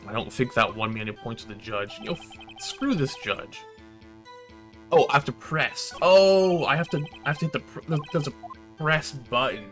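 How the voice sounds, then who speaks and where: Male streamer, bright forceful voice, casual and sweary gameplay commentary over faint game music. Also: medium pitch, animated, normal speed. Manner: swears freely, exasperated ranting. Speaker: streamer playing and reading a visual novel aloud.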